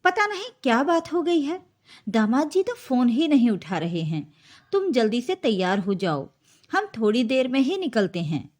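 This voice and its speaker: Woman voicing an anxious middle-aged father, high-pitched. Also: very animated, normal speed, darkish tone. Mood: worried.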